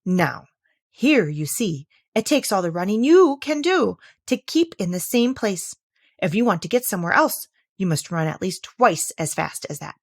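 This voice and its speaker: A woman's voice: mid-range voice, sing-song, normal speed, no room sound, clean studio sound. A woman voicing a bossy yet good-natured chess queen from a storybook, exclamatory, imperious and matter-of-fact. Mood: brisk, good-natured.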